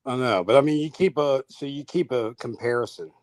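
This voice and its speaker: A man's voice: mid-range voice, a little fast, darkish tone. A man in casual conversation, speaking informally and chiming in as a chat partner. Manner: informal, interjecting.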